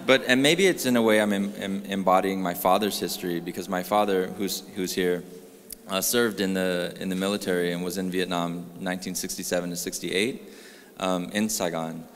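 Deep-voiced guy in his 30s on a handheld mic, earnest, lots of restarts, hall echo. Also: fairly even intonation, normal speed. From California. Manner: earnest, gestures while talking, many restarts. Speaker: artist panelist. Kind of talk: panel discussion. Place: gallery room with a live audience. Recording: reverberant gallery hall.

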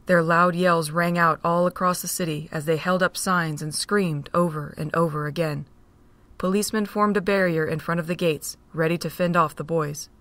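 A woman narrates a fan-made audio drama with a steady, plain delivery. Her voice sits low, recorded dry and close with no room around it. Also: normal speed, no room sound.

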